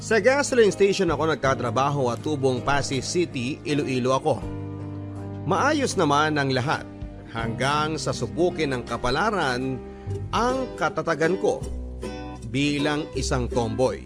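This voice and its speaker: A man with a Filipino accent playing a cocky young tomboy, narrating a letter in a measured, confessional way for a radio drama. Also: fairly high pitch, lively intonation, music in the background. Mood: confessional, proud.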